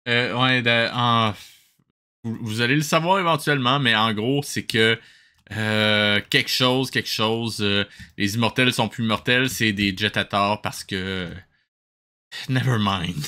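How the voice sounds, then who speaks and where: A man's voice: lowish voice, unhurried, sharp tone, clear, resonant tone. A Québécois man giving casual comic commentary as a review host, sarcastic and slangy, dropping in English phrases. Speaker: host of a mocking watch-along review.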